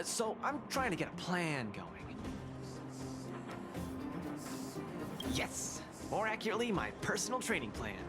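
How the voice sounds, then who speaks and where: An actor voicing a boisterous, eager young male fighting champion in a video game, with an excitable, cajoling delivery. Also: sits high, vocal fry, exaggerated voice. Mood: eager, proud. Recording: loud music under the voice.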